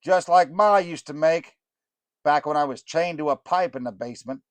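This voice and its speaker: A man voicing a fast-talking bald male inmate with a Northeastern accent, griping in a raised, wisecracking voice. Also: a little high, dry recording, voice pushed up, close to an everyday voice.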